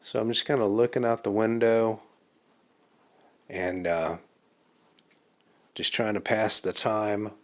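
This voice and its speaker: A man talks to the camera alone in his living room at night, his voice a little raspy. He speaks slowly and haltingly, with plenty of ums and uhs. His low voice has a bright, forceful edge. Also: steady tone. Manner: slow, halting, thinking aloud with many fillers. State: slightly raspy from fasting. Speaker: vlogger. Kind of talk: casual vlog monologue.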